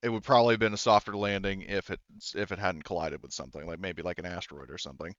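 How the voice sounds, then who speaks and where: Guy game mastering, rambles and thinks out loud, smooth low voice, kinda dull mic. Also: steady tone, no room sound. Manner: halting, rambling, thinking aloud. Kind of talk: improvised narration of a tabletop roleplaying game.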